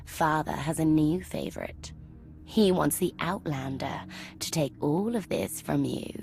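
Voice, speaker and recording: Scheming princess for a game drama: lowish pitch, coolly goading, music underneath. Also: female voice, unhurried, vocal fry, a little mellow. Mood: coolly provoking. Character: sly, scheming imperial sister. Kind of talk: dramatic video game acting.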